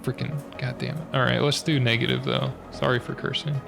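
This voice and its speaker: A man's voice: medium pitch, flat delivery, a little fast, vocal fry, over music. A young man streaming a puzzle game with a stuffy, slightly nasal nose, muttering hesitantly as he works through the logic step by step. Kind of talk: thinking aloud while live-streaming a puzzle game.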